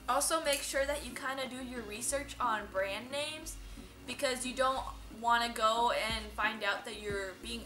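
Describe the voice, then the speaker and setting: A woman's voice: a little high, bright, forceful tone. A young adult woman chatting informally as she gives explanatory advice as a vlog host. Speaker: vlog host, boutique worker. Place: indoor dress shop. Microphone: camera a few metres away. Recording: roomy sound from camera distance.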